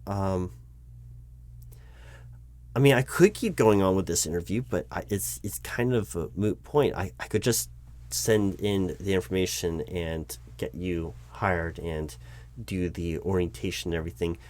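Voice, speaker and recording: A man acting as a rambling, halting hiring manager, lowish voice. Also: a little mellow. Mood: flustered, explaining. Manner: rambling, halting, stammering, self-correcting. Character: rambling, exasperated male corporate hiring manager. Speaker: roleplay performer. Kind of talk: improvised one-sided job interview roleplay, spoken aloud.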